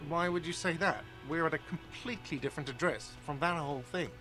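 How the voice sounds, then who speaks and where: An actor playing a grumpy middle-aged hostel manager in a video game, gruff and defensive, swearing now and then. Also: male voice, on the high side, fairly quick, music in the background, close to an everyday voice. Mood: grumbling. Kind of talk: game voice acting.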